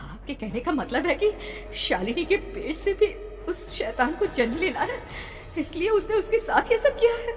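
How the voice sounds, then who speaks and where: A woman's voice: very high voice, big pitch swings, normal speed, hardly any pauses, pitched above the performer's own voice. A woman voicing an emotional, earnest aunt, brave but frightened, in a horror cartoon. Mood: determined, anxious. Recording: long reverb, background noise.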